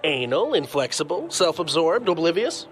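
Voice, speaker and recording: A man's voice: on the high side, sing-song, normal speed, soft background music. An actor playing an easygoing, teasing male sidekick with a playful manner.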